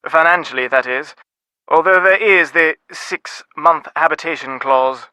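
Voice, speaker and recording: An actor playing a busy, reserved middle-aged British diplomat in a video game, speaking in a formal, clipped, wordy way. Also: male voice, fairly high pitch, a little slow, pushed and bright, smooth, no echo, low-quality recording. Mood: exasperated, reserved.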